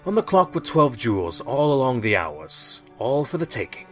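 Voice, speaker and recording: A man's voice: mid-range voice, very animated, moderate pace, fairly bright tone, faint music behind. A man voicing an earnest police inspector pony, matter-of-fact and explanatory, in an audio drama. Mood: explaining. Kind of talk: audio drama character acting.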